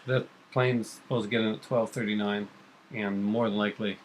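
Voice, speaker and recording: A man's voice: fairly low pitch, steady tone, moderate pace, a little hiss or hum, rough recording. A middle-aged man hosting a casual chat vlog, rambling enthusiastically in a home kitchen. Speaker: vlog host. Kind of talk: casual chat about comics and horror films.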